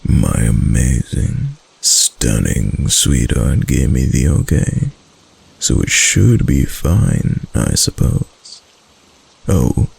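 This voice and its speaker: A man voicing a doting, obsessive kitsune husband in an intimate ASMR roleplay, breathy and gravelly, with hesitant, coaxing endearments. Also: extremely low pitch, a little mellow, full character voice. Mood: anxious, coaxing, then adoring, playful. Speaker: voice actor in a roleplay.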